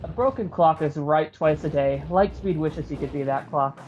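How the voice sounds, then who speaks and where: A man's voice: on the high side, moderate pace, muffled recording. A man reading comedic scripted narration for a fan comic. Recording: clearly noisy amateur recording.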